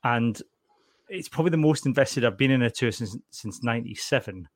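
A man's voice: mid-range voice, lively intonation, brisk, no echo, clean studio sound. A middle-aged man hosting a casual podcast, jokey and chatty, often restarting his sentences. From Scotland. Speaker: podcast host.

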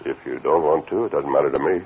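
A tinny, noisy vintage radio western features a masked lawman hero. His voice is deep, calm and commanding, with a firm, assured delivery. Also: fast. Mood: confident, persuasive. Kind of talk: 1940s-50s radio western acting.

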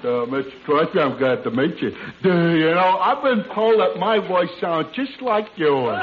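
An actor playing a slow-witted, friendly barroom regular with a hesitant, dopey manner in 1940s radio comedy. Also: male voice, on the high side, lively intonation, lightly acted. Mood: eager, bashful. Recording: muffled old radio recording.

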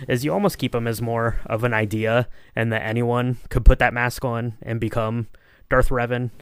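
A man hosts an informal podcast conversation in an average-pitched voice. He talks casually and haltingly, working out his thoughts as he goes. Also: fairly even intonation, smooth, no echo. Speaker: podcast host. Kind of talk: casual discussion, informal chat.

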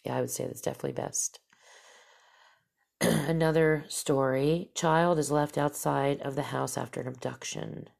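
A woman's voice: low-pitched, fairly even intonation, moderate pace, gravelly, a little mellow. A woman hosting a talk show, whose stuffy, nasal voice rambles casually through stories and asides. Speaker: podcast host. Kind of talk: casual storytelling monologue.

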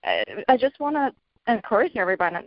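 A middle-aged woman from Bulgaria, a children's author being interviewed, talking in a hesitant way with many ums and soft laughs. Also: fairly high pitch, huge pitch swings, fast talker, dry recording. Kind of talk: casual interview talk. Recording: dull lo-fi audio.

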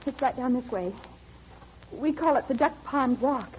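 A woman's voice: high voice, expressive, moderate pace, a little mellow. An actress playing a shy, earnest teenage girl, dreamy and hesitant, in a classic radio drama. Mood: shy, curious. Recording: dull, faintly noisy old radio recording.

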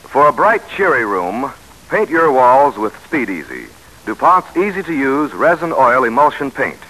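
Bright-voiced male vintage radio pitchman. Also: sing-song, measured pace, poor audio. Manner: polished, salesmanlike. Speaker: sponsor spokesman. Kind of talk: 1940s radio advertising pitch.

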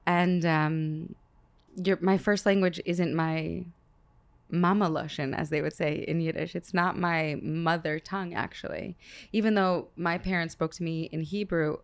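A woman's voice: lowish voice, fairly even intonation, measured pace, creaky, smooth. A woman in her thirties hosting an interview, musing aloud in a casual way and stammering a little as she begins her thoughts. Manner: warm-hearted, musing, stammers at starts. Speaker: podcast host.

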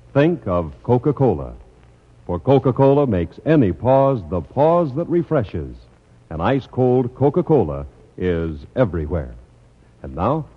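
A man announcing a 1950s radio music show in a smooth, formal announcer delivery. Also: fairly low pitch. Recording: dull old radio transcription with music.

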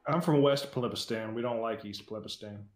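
A man's voice: fairly low pitch, fairly quick, faint music behind. A man co-hosting a podcast in casual, joking banter, with a dry, sarcastic, teasing manner. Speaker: podcast co-host.

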